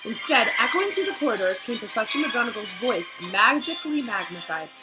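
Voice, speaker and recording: A woman with a fairly high voice reads a storybook aloud. She narrates informally, as if to young listeners. Music plays clearly behind her. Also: big pitch swings, fairly quick, rough recording. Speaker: reader of a children's read-aloud, addressing listeners. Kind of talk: storybook read-aloud with casual asides.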